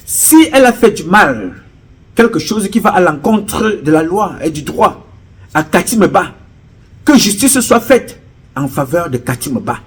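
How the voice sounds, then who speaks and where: A middle-aged man with a Cameroonian French accent delivers a fervent political speech. His husky voice builds in repeated phrases, pressing hard on key words. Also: on the high side. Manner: emphatic, rising to urgent appeals; repetitive oratorical cadence. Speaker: political commentator. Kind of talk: impassioned political address.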